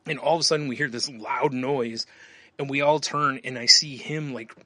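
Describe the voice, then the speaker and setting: A man's voice: medium pitch, fairly even intonation, normal speed, pushed and bright, dry recording. A man co-hosting a podcast, telling a rambling story in casual chat with lots of fillers and frequent laughs. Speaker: podcast co-host.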